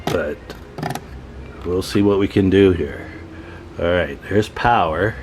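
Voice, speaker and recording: A man's voice: low voice, takes it slowly, slight background noise. A man hosting a radio repair video, giving casual, folksy commentary to viewers as he tinkers at his workbench. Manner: informal, folksy, talking to viewers while tinkering. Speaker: host of a radio repair channel. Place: at a workbench, indoors.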